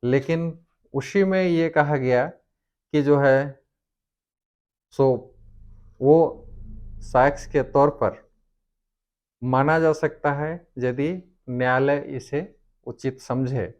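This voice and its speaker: A man giving a formal explanatory lecture on law, speaking deliberately in short, halting phrases as a presenter. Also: medium pitch, fairly even intonation, a little mellow, clear, resonant tone.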